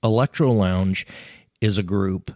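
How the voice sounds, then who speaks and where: A middle-aged man hosting a casual solo podcast, talking in a relaxed, rambling way with lots of ums, close to a studio mic. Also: deep voice, unhurried, no echo. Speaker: podcast host.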